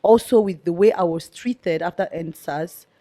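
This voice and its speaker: A young woman with a Nigerian accent speaking earnestly as an interview guest, with a loud, outspoken way of talking. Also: lowish voice, normal speed. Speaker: guest, activist. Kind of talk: conversational interview.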